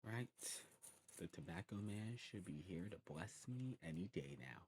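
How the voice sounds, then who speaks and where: A man's voice: low voice, animated, measured pace, darkish tone, a little hiss or hum. A man doing an ASMR role-play as an eager demon shopkeeper, explaining things in a rambling, informal way. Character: male demon shopkeeper of a snuff shop, eagerly welcoming.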